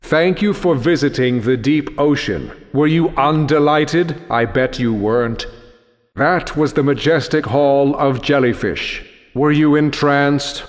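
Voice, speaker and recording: An ominous aquarium exhibit announcer from a video game played by a man. He speaks slowly with mock-dramatic mystery, and long reverb washes around every phrase. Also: fairly high pitch, lightly acted. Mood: ominous, wry. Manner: slow, mysterious, mockingly dramatic. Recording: long cavernous hall reverb.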